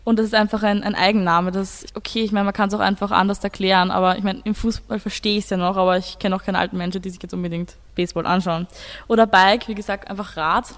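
A woman's voice: mid-range voice, steady tone, fast, big-room sound. A teenage girl, casually arguing her opinion as a student guest in a radio discussion.

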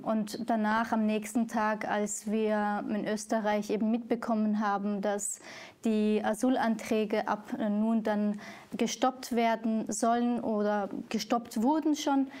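A woman's voice: a little high, a little mellow. A woman from Syria, with Kurdish as her first language, answering interview questions hesitantly, with many fillers and restarts. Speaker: guest, author.

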